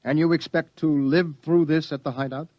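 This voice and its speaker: A man's voice: a little high, fairly even intonation, moderate pace, no room sound, lightly acted. An actor playing a skeptical, questioning young male reporter who is easily unnerved, performed in an old radio drama style. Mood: incredulous. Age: young adult. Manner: questioning, incredulous. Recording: dull lo-fi old radio recording.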